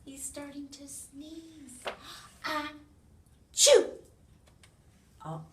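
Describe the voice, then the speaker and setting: An American woman reads a picture book aloud to young children in an expressive way, with sound effects, questions and hushed asides to her listeners. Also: high-pitched, very slow. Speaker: children's read-along reader. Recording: roomy, distant mic with noise.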